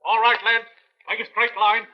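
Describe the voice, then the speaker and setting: An actor playing a dutiful police officer who barks clipped orders in an old-time radio drama. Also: male voice, high-pitched, little pitch movement, moderate pace, slight background noise, close to an everyday voice. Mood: brisk, commanding. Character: brisk, dutiful male police officer marshalling a lineup. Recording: muffled old radio broadcast.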